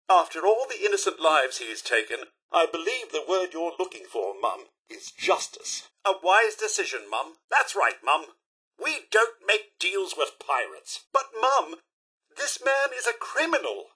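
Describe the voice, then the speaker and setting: Fussy, high-pitched British robot butler. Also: male voice, bright, forceful tone, slightly rough, full character voice. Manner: polite, theatrical, fussy butler formality. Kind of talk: scripted video game character lines.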